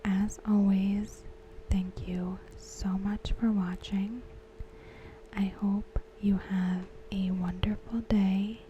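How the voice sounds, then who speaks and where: A woman whispering softly as an ASMR host, talking through a TV episode. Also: mid-range voice, little pitch movement, takes it slowly, a little hiss or hum, low-quality recording. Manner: whispered, unvoiced and close. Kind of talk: soft-spoken ASMR commentary.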